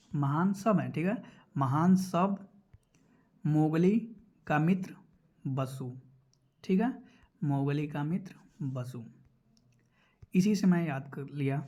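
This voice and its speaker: A man's voice: on the high side, darkish tone. A man teaching an exam prep lesson, explaining step by step and repeating key points. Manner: repeats key points instructively, checks in with listeners. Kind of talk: explanatory lesson going through quiz questions.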